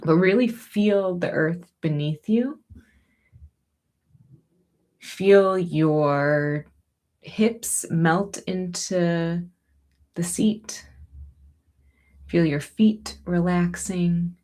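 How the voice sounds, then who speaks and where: A woman teaching yoga and breathing, giving gentle, soothing, unhurried encouragement as she guides each movement. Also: fairly low pitch.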